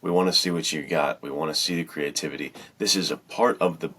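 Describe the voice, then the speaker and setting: A man's voice: very deep voice, fast talker, sharp tone. A man co-hosting a podcast in casual chat, rambling along informally and with enthusiasm. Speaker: podcast co-host.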